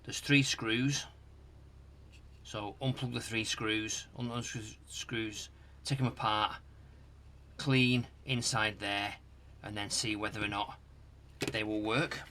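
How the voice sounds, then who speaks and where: A middle-aged British man giving casual hands-on repair commentary, musing aloud in a halting, hesitant way. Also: on the low side, steady tone, pushed and bright, a little hiss or hum. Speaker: host of a retro tech repair channel. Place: home workshop loft.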